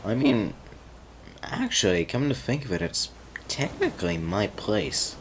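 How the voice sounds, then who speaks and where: A man's voice: on the low side, wildly swooping pitch, a little slow. A man performing a romantic audio roleplay, teasing and laid-back, laughing often as he talks to a friend. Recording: constant fireworks ambience noise. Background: fireworks and outdoor ambience.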